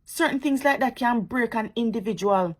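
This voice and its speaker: A woman's voice: on the high side, normal speed. A woman with a Jamaican accent giving impassioned, preachy commentary to her social media viewers, repeating her points and turning sarcastic.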